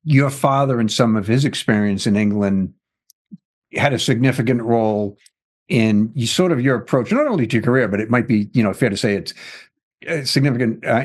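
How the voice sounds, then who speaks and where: A man's voice: mid-range voice, darkish tone. A man hosting a podcast interview, chatty and self-deprecating, with lots of "you know" fillers. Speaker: podcast host. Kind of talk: casual interview chat.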